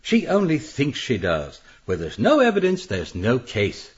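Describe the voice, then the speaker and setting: Suave, swooping English villain. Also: male voice, medium pitch, huge pitch swings, a little slow, husky, exaggerated voice. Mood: smooth, condescending. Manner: suave, condescending, dryly sarcastic. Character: smug, scheming gentleman publisher, a murderer. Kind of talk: radio mystery drama acting. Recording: slightly dull, muffled audio.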